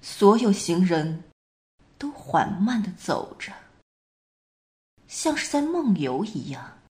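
A woman playing a troubled half-French wife who recounts a recurring dream in a measured amateur radio play monologue, with deliberate phrasing and pauses. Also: medium pitch, very animated, mellow. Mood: brooding, haunted.

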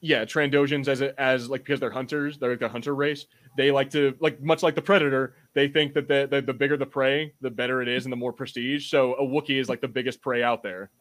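Man podcast co-host chatting like an excitable fan. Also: mid-range voice, brisk, hardly any pauses. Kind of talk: casual chat.

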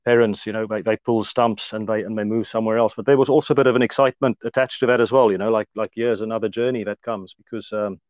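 A man in his thirties from South Africa with an Afrikaans accent, speaking as an interview guest in a relaxed, hesitant way with many fillers. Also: lowish voice, fast talker, few pauses, dry recording, lo-fi sound. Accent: Afrikaans-accented South African English. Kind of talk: casual conversational interview.

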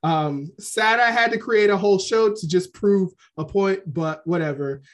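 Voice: medium pitch, a little slow. A podcast host in casual chat, talking loosely with ums and trailing restarts.

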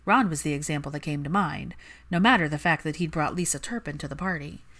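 A woman's voice: deep voice, quick pace, a bit bright. A woman reading fan fiction aloud as a scripted story narration.

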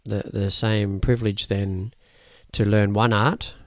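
A man whose first language is Cantonese, a martial arts teacher explaining his teaching in a thoughtful interview, often pausing and restarting. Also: on the low side, level tone, dry recording, studio quality. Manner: measured, thoughtful, with frequent fillers and restarts. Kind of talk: conversational interview answers.

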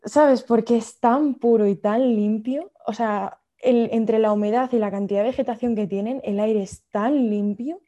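A woman's voice: on the high side, normal speed, few pauses, dark tone, dull audio. A young woman from Madrid with a Madrid Spanish accent, hosting a casual podcast chat and telling stories with frequent laughter. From Madrid, Spain. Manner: chatty, laughs often, storytelling.

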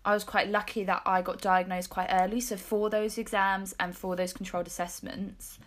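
A woman's voice: mid-range voice, flat delivery, normal speed, fairly bright tone. A woman of twenty-two with a British accent, hosting a podcast in an informal chatty way and often correcting herself. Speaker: podcast host. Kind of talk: casual chat.

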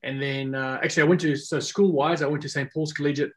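Relaxed man, casual. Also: a little high, fast talker. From South Africa. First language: Afrikaans. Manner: rambling, many fillers and restarts. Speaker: guest. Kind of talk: casual podcast chat.

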